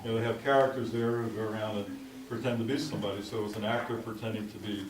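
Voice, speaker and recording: A man giving a wedding toast, telling stories in a chatty, halting way with many fillers. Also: fairly low pitch. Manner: anecdotal, lively, with halting fillers. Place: large reception hall. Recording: roomy, distant, noisy home video.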